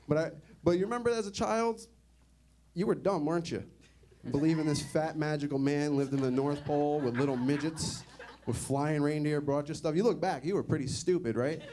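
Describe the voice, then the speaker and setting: A man's voice: fairly high pitch, dark tone. A young man doing a stand-up comedy set in a conversational, sardonic way, often asking the audience questions. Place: comedy club stage.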